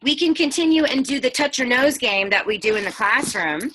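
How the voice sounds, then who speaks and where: A woman teacher reading a picture book aloud to children, expressive, with chatty explanations and questions for the listeners. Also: on the high side, brisk, low-quality recording.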